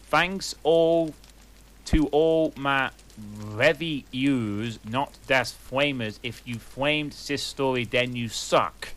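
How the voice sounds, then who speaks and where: A man's voice: medium pitch, drawn-out words, a bit bright. A man reading a story aloud in a comic, mock-earnest manner, with a fireplace crackling behind him. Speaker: reader.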